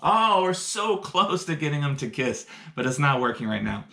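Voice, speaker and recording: A man's voice: a little high, big pitch swings, normal speed, creaky, pushed and bright, roomy sound. A man teaching science through a live demonstration, upbeat and explaining each step for kids indoors. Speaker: science educator. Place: indoor room.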